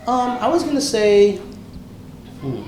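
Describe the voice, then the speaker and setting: A man chatting casually as a podcast host, excitable and slangy, often repeating his phrases. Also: high-pitched, slow pace, a little hiss or hum, poor audio. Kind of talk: casual chat.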